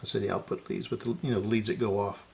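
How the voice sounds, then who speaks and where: A man's voice: lowish voice, steady tone, fast, creaky, a little mellow. A man giving casual hands-on repair narration, thinking aloud and often correcting himself as he works. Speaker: host of a radio repair channel.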